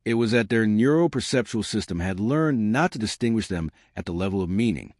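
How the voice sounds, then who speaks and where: A man narrating a scripted science explainer, measured and deliberate, with slow emphatic short sentences. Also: on the low side, animated, a little mellow, dry recording, clean studio sound.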